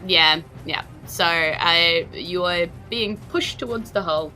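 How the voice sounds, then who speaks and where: A woman's voice: lowish voice, takes it slowly, bright, forceful tone, faint music behind, low-quality recording. A woman with a New Zealand accent casually narrating a tabletop game as game master, playful in manner.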